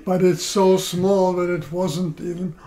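An older man from Poland, seated at home, telling his life story in a slow, measured way with many pauses, recorded by a camera across the room. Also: sits high, level tone, vocal fry, mellow. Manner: slow, measured, pausing between phrases. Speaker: interviewee. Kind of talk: oral history storytelling. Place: living room, indoors.

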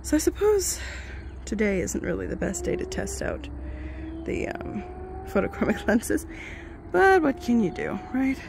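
Gravelly-voiced woman with the flu. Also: on the high side, big pitch swings, unhurried, background noise. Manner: chatty, rambling, self-deprecating. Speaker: vlogger reviewing glasses. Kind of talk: casual rambling review. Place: home, indoors and outdoors in yard.

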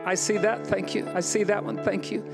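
American pastor guy, high voice, preaching hard then praying soft, band playing quietly. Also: little pitch movement, brisk, gravelly, over music. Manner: preaching, emphatic, drops to soft prayer. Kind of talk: sermon and altar call. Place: large church stage with band. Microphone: handheld mic.

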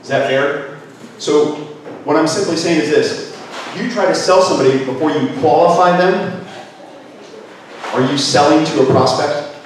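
A man's voice: on the high side, normal speed, long pauses. Man giving an echoey live seminar talk. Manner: energetic, gestures, asks the room questions. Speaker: business speaker. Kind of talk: live workshop talk to an audience. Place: conference room with projector screen. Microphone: handheld mic. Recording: echoey room from far off, faint noise.

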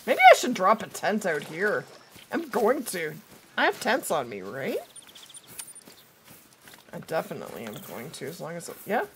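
A woman's voice: fairly low pitch, very animated, moderate pace, long pauses, a little hiss or hum. A woman in her thirties livestreaming a game in casual, chatty talk, her voice strained by a cold. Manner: chatty, talking to viewers and to the game. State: has a cold, losing her voice. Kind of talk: casual livestream gameplay chat. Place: indoors at a streaming setup.

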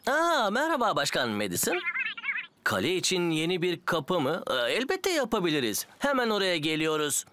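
A man's voice: sits high, huge pitch swings, moderate pace, noisy background. A man voicing a confident, friendly builder and team leader in a children's cartoon. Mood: obliging. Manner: confident, instructive. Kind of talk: children's cartoon voice acting, Turkish dub.

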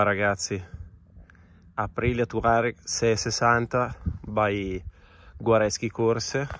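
A man with an Italian accent, a rally rider vlogging outdoors and talking straight to his viewers in an upbeat way. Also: on the low side. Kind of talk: casual vlog commentary. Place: outdoors on a gravel yard and trail.

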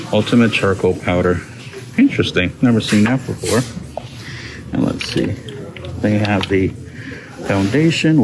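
Upbeat man narrating a store walkthrough. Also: on the low side. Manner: chatty, upbeat, reads product labels aloud with fillers. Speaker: shopping vlog host. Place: inside a large discount store. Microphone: handheld phone camera. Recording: phone recording with store background noise. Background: store ambience.

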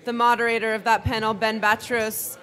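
A woman organizing a conference, loudly calling the room to order and making announcements in a large hall. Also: a little high, level tone, a little fast. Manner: loud, calls the room to order.

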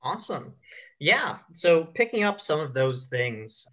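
A man hosting a casual panel discussion as a director, explaining things in a measured way. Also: fairly high pitch, very animated, pushed and bright.

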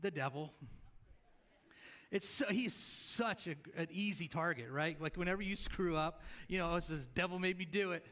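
Middle-aged pastor guy, kinda high voice, chatty sermon style, asking the crowd questions. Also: normal speed, a bit bright. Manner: conversational, asks the congregation questions; moves around the stage and gestures while preaching. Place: church stage.

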